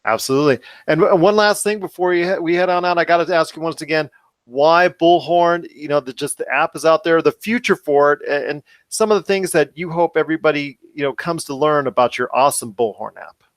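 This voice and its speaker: A man's voice: fairly high pitch, fairly quick, dry recording. A man hosting a podcast in a casual interview style, with wordy, rambling phrasing. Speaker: podcast host.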